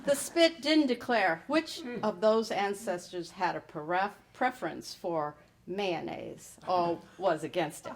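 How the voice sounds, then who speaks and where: A middle-aged woman reading her personal essay aloud in a wry storytelling manner with pointed pauses. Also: medium pitch, background noise. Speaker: writer reading own piece.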